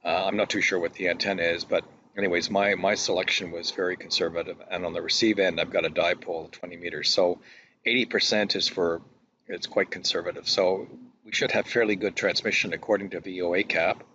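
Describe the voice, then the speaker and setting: A man giving a casual screen-capture demonstration as a hobbyist, talking in a halting, technical way. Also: low-pitched, steady tone, pushed and bright. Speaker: radio hobbyist presenter. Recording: dull lo-fi audio, faint noise.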